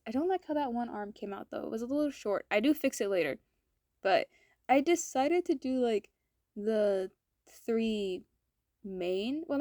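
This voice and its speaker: A woman art vlogger with a slightly raspy, hoarse voice, chatting casually in a voice-over and rambling with lots of "like" fillers. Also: on the high side, a little mellow, no room sound, clean studio sound. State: throat hoarse from cold air.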